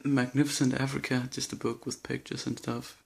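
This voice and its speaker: A man's voice: mid-range voice, flat delivery, moderate pace, vocal fry, breathy edge. A young man with a Danish accent, listing books in a casual bookshelf tour, hesitant and a little nervous. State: nervous and shaky. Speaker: vlogger.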